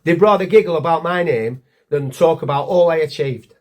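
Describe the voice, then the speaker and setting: An actor playing a weary, bitter, divorced former Lord Mayor in a wry, confiding comic monologue. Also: male voice, fairly high pitch, normal speed, a little mellow, close to an everyday voice. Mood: indignant, defensive.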